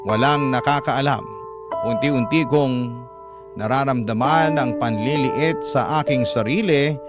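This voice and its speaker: A man reading a dramatic first-person story as a radio narrator, in a sorrowful, confessional manner. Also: medium pitch. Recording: music bed under narration.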